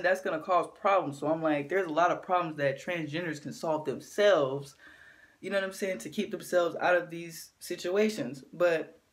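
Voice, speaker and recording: Deep-voiced woman ranting casually. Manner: animated, keeps asking "you know what I'm saying". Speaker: vlogger. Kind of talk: casual opinion rant to camera.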